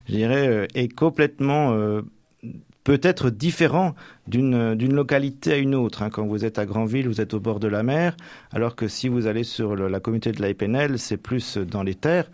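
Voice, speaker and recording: A young man from Normandy, a deacon about to become a priest, answering radio interview questions with many pauses and restarts. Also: mid-range voice, darkish tone, no room sound. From Normandy, France. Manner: hesitant, many fillers and restarts. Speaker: deacon soon ordained priest.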